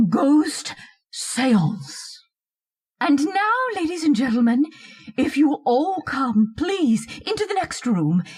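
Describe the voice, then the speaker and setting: An actress playing a pompous, bossy older society hostess in a radio play, with a theatrical, affected, self-satisfied way of talking. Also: female voice, high voice, heavily acted. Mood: excited, bossy. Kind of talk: old-time radio horror-comedy acting.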